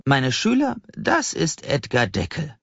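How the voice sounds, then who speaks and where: A man playing an elderly bookseller in an audiobook, a kindly mentor who speaks in a solemn, deliberate, fatherly way. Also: fairly high pitch, wildly swooping pitch, a bit bright, husky, pitched above the performer's own voice, heavily acted. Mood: introducing. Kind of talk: audiobook character voice. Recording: slightly dull, roomy audiobook recording.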